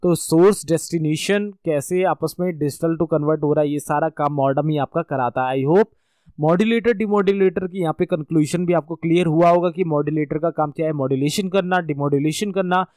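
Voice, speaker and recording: A man's voice: on the high side, brisk, darkish tone, dry recording. A man with an Indian accent giving an informal explanatory lecture as an instructor, often rephrasing his points and checking that the listener follows. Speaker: networking course instructor.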